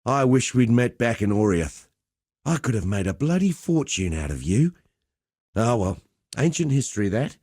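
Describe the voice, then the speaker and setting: An actor playing a roguish, cheerful veteran pit fighter in a video game, talking in a colloquial, wry, swaggering storyteller's way. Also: male voice, medium pitch, sing-song, moderate pace, a little mellow, breathy edge, dry recording, studio quality. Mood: wry mentoring. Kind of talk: scripted game dialogue.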